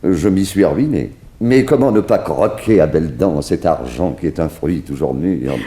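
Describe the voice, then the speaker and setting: Flamboyant celebrated couturier: low voice with sweeping, swooping delivery, grandiose and courtly, vintage French radio play. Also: male voice, expressive, moderate pace, mellow. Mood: grandiose, amused. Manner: theatrical, courteous, self-important. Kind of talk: 1960s French radio drama acting.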